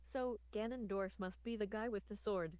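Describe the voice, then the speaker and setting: Synthetic robot voice, flat computer reading, female tts voice, dry close sound. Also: mid-range voice, normal speed, no room sound, lightly acted. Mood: musing. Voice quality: synthetic text-to-speech voice. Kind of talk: text-to-speech dialogue in a read-aloud story.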